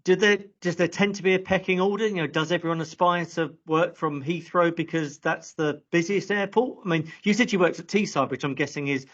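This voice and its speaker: A man's voice: a little high, fairly even intonation, a little fast, few pauses, fairly bright tone. A man hosting a live online session, conversational and a little tentative, rephrasing his questions as he goes. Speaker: host of a live careers webinar. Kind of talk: conversational interviewing. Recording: dull lo-fi online call audio.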